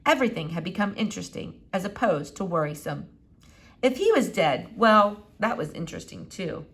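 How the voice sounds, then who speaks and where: A woman narrating a children's book aloud in an expressive storytelling style. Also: lowish voice, moderate pace. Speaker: audiobook reader.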